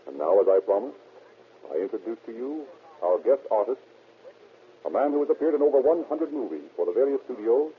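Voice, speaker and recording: A man's voice: mid-range voice, very animated, fairly quick, breathy edge. A man hosting as a 1930s radio announcer, with a formal, salesmanlike delivery. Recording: muffled, narrow-band old radio recording.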